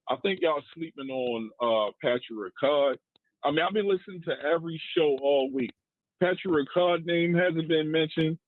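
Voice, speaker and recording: Baltimore man calling in by phone. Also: a little high. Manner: emphatic, talks in long runs. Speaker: caller. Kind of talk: sports radio call-in. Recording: phone line.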